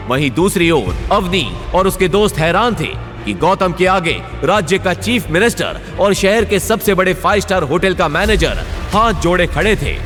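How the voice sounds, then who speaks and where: A man's voice: on the high side, a bit bright, big-room sound. A man delivering dramatic scripted story narration.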